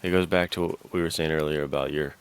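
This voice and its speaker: A man's voice: very deep voice, fairly even intonation, fast, clear, resonant tone. A man co-hosting a podcast, talking casually and informally with plenty of slang. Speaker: podcast co-host.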